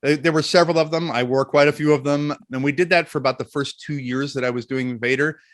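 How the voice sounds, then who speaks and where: A middle-aged man chatting casually as an interview guest, telling jokey anecdotes in an animated way. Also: on the high side, quick pace, no echo.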